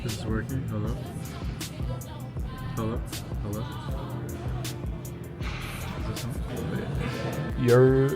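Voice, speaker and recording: Earnest young man vlogging over loud music. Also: fairly low pitch. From United States. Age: 20s. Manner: reflective, earnest, slangy and rambling. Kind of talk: casual vlog monologue.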